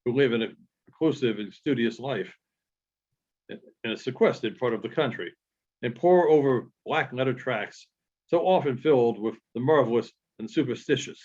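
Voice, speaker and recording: A man reading literary narration aloud as an audiobook reader, in a measured storytelling manner with halting phrasing. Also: medium pitch, a little mellow, lo-fi sound.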